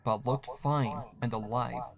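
A man's voice: mid-range voice, fairly even intonation, unhurried, over music. A man reading a scripted horror story as the narrator. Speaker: fan fiction narrator.

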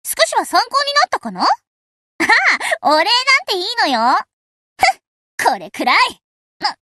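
A woman's voice: very high-pitched, wildly swooping pitch, moderate pace, pushed and bright, dry recording, heavily acted. A woman voicing a spirited, confident teenage destroyer girl in an anime game, perky, assertive and a little bossy. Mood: pleased, modest, then shocked, faltering. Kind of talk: Japanese anime game voice acting.

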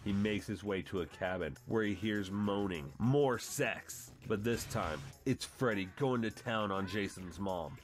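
A man's voice: lowish voice, a little slow, few pauses, soft background music. A man hosting and narrating a comic book story as a dramatic reading. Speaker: channel host and narrator.

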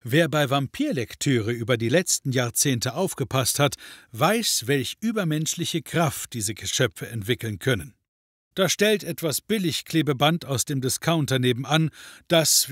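A man narrating a comedy audio drama as a dry, wry storyteller with a deadpan delivery. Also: medium pitch, breathy edge, no room sound.

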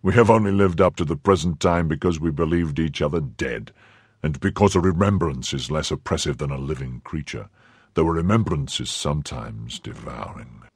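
A man voicing a stern, brooding nobleman who speaks slowly, lowly and menacingly in a quiet, husky voice. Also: no echo, studio quality, heavily acted. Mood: coldly menacing. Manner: slow, low and menacing, quietly bitter. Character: stern brooding male nobleman, cold and grave.

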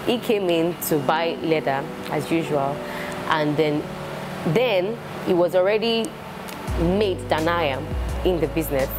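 A young Nigerian woman, a shoemaker, chatting in an interview in a shoe showroom and telling her story with animated, repeating phrases. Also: low voice, a little slow, over music. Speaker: shoemaker and entrepreneur. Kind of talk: casual interview chat.

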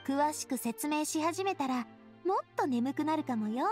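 A spirited young lady from an anime game, with a high voice. She talks casually and expressively, breezy yet earnest and kindhearted. Music runs behind her. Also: moderate pace. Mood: cheerful. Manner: casual, feminine, expressive storytelling. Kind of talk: Japanese anime game voice acting.

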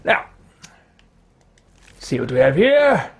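Man playing a fussy, creaky old wizard, swooping pitch. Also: sing-song, slow, full character voice. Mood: pensive, muttering. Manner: formal, archaic, theatrical self-talk. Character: elderly male wizard, earnest, fussy and old-fashioned, muttering to himself. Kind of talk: in-character video game roleplay commentary.

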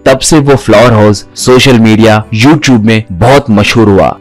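A low-pitched man narrates a scripted story. Faint music plays behind his voice. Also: measured pace.

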